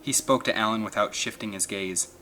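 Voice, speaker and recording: Male narrator, dramatic adventure audiobook read, crisp forceful tone, faint noise in the background. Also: on the low side, fairly quick.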